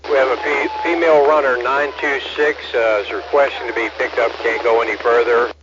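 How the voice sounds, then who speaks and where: A man's voice: medium pitch, steady tone, brisk. A man working as a medical station operator, making procedural reports over a two-way radio. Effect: two-way radio transmission. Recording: muffled noisy two-way radio audio.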